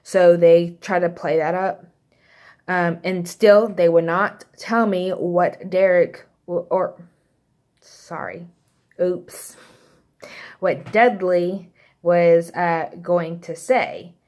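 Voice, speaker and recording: A young woman with a light Texas accent tells a personal story straight to her viewers. Her voice sits a little low, and she talks in a loose, rambling way full of "um" and "you know" asides. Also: steady tone, slow pace, darkish tone. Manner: chatty, rambling, many fillers and asides. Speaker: vlogger. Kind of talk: casual storytelling.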